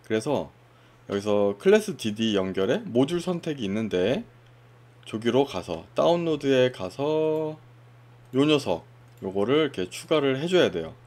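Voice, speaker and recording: Man, software tutorial presenter, casual step-by-step explaining, animated pitch, mid-range voice. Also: unhurried. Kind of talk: screen-recorded software walkthrough.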